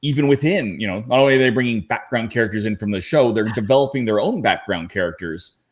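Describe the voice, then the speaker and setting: A man's voice: lowish voice, animated, fast. A man speaking as a podcast panelist, explaining things casually in a fan discussion.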